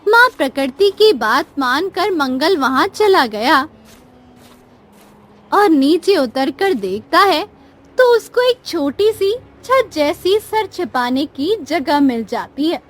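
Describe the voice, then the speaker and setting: A woman's voice: high voice, very animated, slow. A woman as narrator, reading a scripted moral story for a cartoon.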